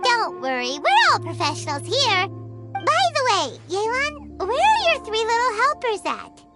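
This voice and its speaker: A woman voicing a tiny, eager, childlike fairy companion in a video game, with a squeaky voice and perky, exclamatory delivery. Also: huge pitch swings, unhurried, full character voice. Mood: confident, curious. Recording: music under the voice.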